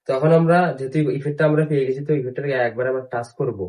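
Fast-talking man, tutorial host. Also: medium pitch, steady tone, a little mellow. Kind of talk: casual step-by-step app tutorial.

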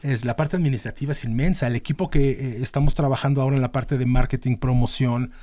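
A middle-aged man with a Mexican accent talks at an ordinary pitch, explaining things at length and filling gaps with fillers and restarts. He speaks into a studio mic in a small podcast studio. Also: fairly even intonation, fast, warm tone. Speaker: band manager, podcast guest. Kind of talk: casual interview talk. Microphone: studio mic on a boom arm.

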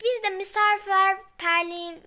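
A young girl voicing a rescued storybook princess who earnestly and haltingly recounts her frightening past. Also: extremely high pitch, fairly even intonation, bright, forceful tone, full character voice. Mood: wistful recounting. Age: child.

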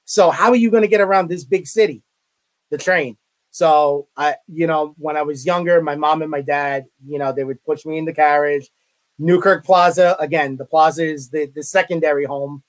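A man's voice: a little high, steady tone, moderate pace, rough recording. A man in his thirties with a Brooklyn accent, talking as an interview guest over a video call, rambling with many restarts and fillers. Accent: Brooklyn New York. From Brooklyn, New York. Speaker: interview guest, college media relations director. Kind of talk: casual interview chat. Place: home room, indoors.

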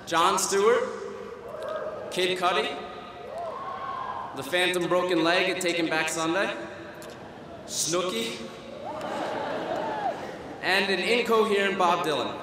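A young man giving a humorous student commencement speech at a podium, wry and self-deprecating, with pauses for laughs. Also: high voice, noisy background. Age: young adult. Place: large auditorium stage at a podium. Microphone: podium microphone. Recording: echoey hall through a PA system. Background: audience laughter and hall ambience.

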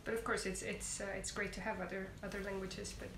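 A woman's voice: mid-range voice, flat delivery, fast. A young woman from Estonia with an Estonian accent, interviewing a guest in a chatty way, full of "like" and other fillers. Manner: chatty, filler-heavy, lots of "like". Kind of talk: casual conversational interview. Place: living room, seated on a sofa. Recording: roomy living room with faint hiss.

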